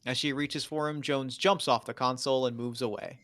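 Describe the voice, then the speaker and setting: A man's voice: medium pitch, fairly even intonation, brisk, a bit bright. A man with a mid-range voice serves as narrator at a table read. He delivers scripted scene headings and stage directions between the actors' lines.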